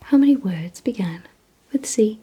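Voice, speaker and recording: A woman whispering in an ASMR roleplay, giving gentle instructions in a breathy, mostly voiceless hush with deliberate pauses. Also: mid-range voice, animated, rough recording. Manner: slow, deliberate, hushed delivery with short pauses. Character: calm, softly commanding female vampire examiner. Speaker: ASMR performer.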